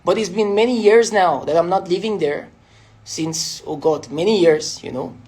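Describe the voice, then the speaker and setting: A woman's voice: fairly low pitch, normal speed, a little mellow. Woman with a Persian accent, rambling. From Iran. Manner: rambling, fills every phrase with "you know". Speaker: entrepreneur hosting a live stream. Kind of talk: casual motivational talk.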